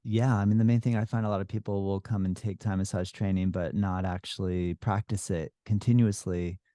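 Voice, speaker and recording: A man hosting a podcast, his voice very low and mellow. The sound is dry and close, and he strings his questions together loosely while warmly affirming the guest. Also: level tone, fairly quick, dry recording. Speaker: podcast host. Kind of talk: casual interview.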